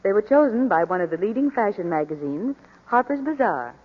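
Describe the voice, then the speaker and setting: A woman's voice: mid-range voice, sing-song, brisk, slightly rough. A woman speaking as a fashion reporter in scripted 1940s radio advertising chat. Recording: muffled 1940s radio broadcast.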